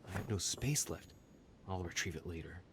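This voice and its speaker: An actor playing a gentleman investigator quietly musing to himself in a video game. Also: sits low, moderate pace, noisy background, natural voice. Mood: quiet, curious. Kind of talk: scripted video game acting.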